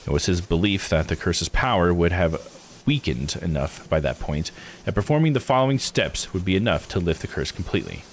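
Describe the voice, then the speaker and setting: A man's voice: deep voice, expressive, brisk, a little hiss or hum. A man live-streaming a game, reading its text aloud and commenting in a laid-back, informal way. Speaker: live-streamer playing a visual novel.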